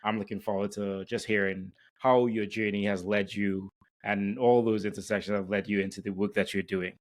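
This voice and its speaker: A man's voice: on the low side, little pitch movement, moderate pace. A man hosting a careers podcast, introducing guests and interviewing them. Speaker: podcast host.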